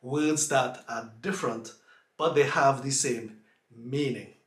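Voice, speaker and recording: A man's voice: mid-range voice, slow, echoey room. A man with a Trinidadian accent, chatting casually in a sit-down vlog from his room and explaining slang with examples. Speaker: vlogger.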